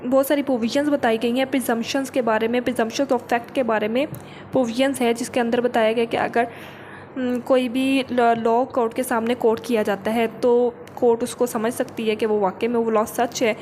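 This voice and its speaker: Higher-pitched woman giving a law lesson. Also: level tone, normal speed, darkish tone, a little hiss or hum. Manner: didactic, repeats points, checks understanding; mixes English legal terms into speech. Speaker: law lecturer. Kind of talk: explanatory lecture, reading legal clauses and paraphrasing them.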